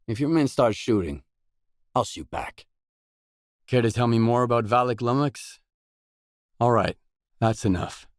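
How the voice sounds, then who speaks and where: An actor playing a blunt, self-reliant mercenary stalker in a video game, speaking in a terse, matter-of-fact way. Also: male voice, lowish voice, no room sound, studio quality. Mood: firm, defiant. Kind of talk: video game dialogue lines.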